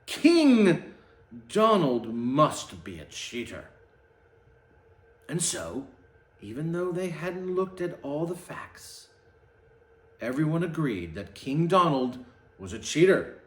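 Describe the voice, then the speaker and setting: A man's voice: fairly high pitch, big pitch swings, slow pace, long pauses. A man reading a storybook aloud as satire, mock-dramatic in the telling and dropping into sarcastic, casual muttered asides. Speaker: host reading a children's book aloud with mocking commentary. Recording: roomy, echoing sound.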